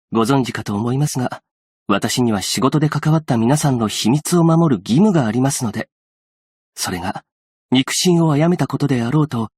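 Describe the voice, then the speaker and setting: An actor playing a gentle, patient, earnest young male aid worker in a game, speaking politely and softly in measured, formal phrases. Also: medium pitch, slightly rough, no echo, clean studio sound. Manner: polite, soft-spoken, measured formal speech. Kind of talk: scripted game character voice lines.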